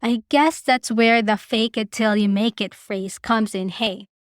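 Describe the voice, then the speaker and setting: A woman's voice: fairly high pitch, fairly even intonation, measured pace, dry recording, studio quality. A woman hosting a podcast, giving chatty, opinionated commentary and speaking casually straight to listeners. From Philippines. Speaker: podcast host.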